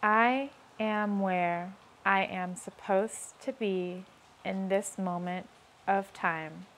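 A woman slowly and calmly leading guided self-affirmations, repeating each line twice. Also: mid-range voice, a little hiss or hum. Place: outdoors on a rainy day.

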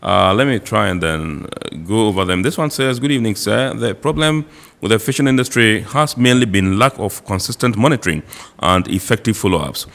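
A man's voice: fairly low pitch, expressive, a little fast, creaky, a bit bright, big-room sound. A man hosting a studio panel discussion, reading out viewer messages and prompting guests with short affirmations. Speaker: TV talk show host.

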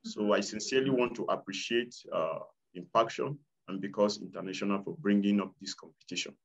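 A man's voice: fairly low pitch, fairly even intonation, brisk, vocal fry. Nigerian man on a muffled call. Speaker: competition participant. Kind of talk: earnest prepared thanks. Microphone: video call. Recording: muffled video call audio.

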